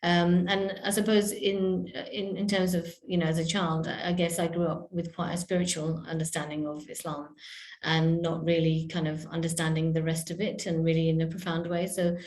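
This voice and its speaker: A woman's voice: lowish voice, little pitch movement, moderate pace, darkish tone. A woman speaking as a podcast guest, reflective and hesitant, with many fillers and restarts. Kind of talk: reflective interview talk. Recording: echoey room.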